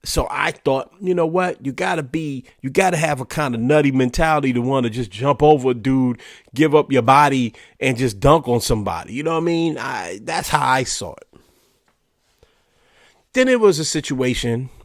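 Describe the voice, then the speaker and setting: A man's voice: medium pitch, animated, moderate pace, no echo, clean studio sound. A man hosting a podcast, talking in a casual, conversational way, a little stuffy and nasal from allergies. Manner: conversational, filler-heavy with "you know" and restarts. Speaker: podcast host. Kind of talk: casual solo commentary.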